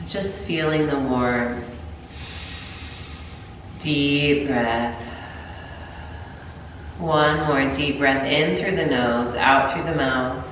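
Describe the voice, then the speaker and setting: A woman with a sinus cold leading a gentle yoga class, her voice congested and low, calm cues broken by throat clearing. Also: distant mic, slight background noise. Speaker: yoga instructor. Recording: roomy echo, voice from afar.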